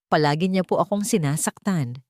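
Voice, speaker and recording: A woman plays a small, brave girl in a storybook tale. The child speaks softly and clearly, with a polite, tender and affectionate manner. The sound is dry and free of room echo. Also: lowish voice, moderate pace, natural voice. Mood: pained, quiet. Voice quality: soft, faint but clear. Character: gentle, brave little girl, soft-spoken and affectionate.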